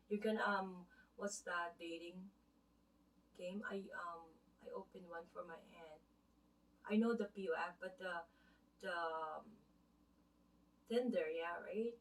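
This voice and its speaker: Filipino woman rambling, heard from afar. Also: lowish voice. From Philippines. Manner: rambling, asks "right?" often. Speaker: vlogger. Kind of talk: casual spiritual advice talk. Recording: voice from a distance off the mic.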